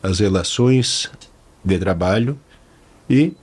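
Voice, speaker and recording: A man's voice: on the low side, slow, slightly rough. A man hosting a podcast interview, chatty and informal. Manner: chatty, informal, repeats words while thinking. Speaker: podcast host.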